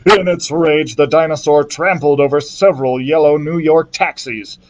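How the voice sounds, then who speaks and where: A male librarian reading a children's adventure book aloud in a steady storytelling manner, with the odd slip. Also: a little high, moderate pace, background noise. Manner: steady storytelling narration with small slips.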